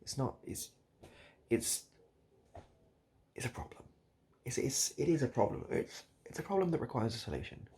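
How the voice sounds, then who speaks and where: A British man doing improvised, absurd comedy straight to the listener in a hesitant, repetitive, deadpan ramble. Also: mid-range voice, moderate pace, long pauses, husky. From United Kingdom. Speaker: comedic video host. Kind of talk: improvised absurd comedy roleplay addressed to the viewer.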